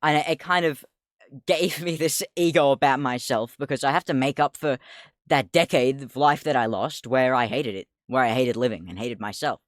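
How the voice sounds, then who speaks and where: A young man with a deep voice talks loosely into a desk mic at home, his delivery rambling and easily distracted, full of laughs and swearing. The sound is dry and close, with no room around it. His pitch sits in an ordinary middle range for a man. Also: normal speed, dry recording. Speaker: streamer. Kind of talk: casual livestream chat.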